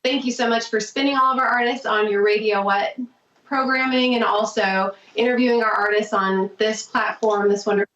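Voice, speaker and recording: A woman's voice: a little high, steady tone, fairly quick, a bit bright. A woman talking as a guest on a podcast, gushing, grateful and talkative. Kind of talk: casual interview chat. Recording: distant, roomy, muffled remote audio.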